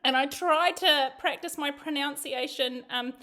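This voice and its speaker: Woman host, high voice, bubbly, chatty, live video call. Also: unhurried, pushed and bright. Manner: bubbly, chatty, stumbles over names. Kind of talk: live show hosting.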